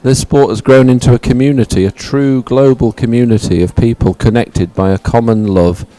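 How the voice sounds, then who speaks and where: A man's voice: medium pitch, moderate pace, dark tone, low-quality recording. A man co-hosting live race commentary and reading out results, talking in an enthusiastic, wordy way. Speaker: sports commentator, co-host.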